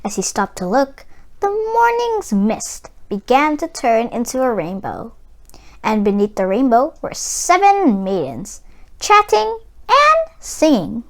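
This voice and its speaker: High-pitched, slow woman storyteller. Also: huge pitch swings. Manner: careful, storytelling delivery. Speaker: children's story reader. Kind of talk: expressive scripted storybook narration.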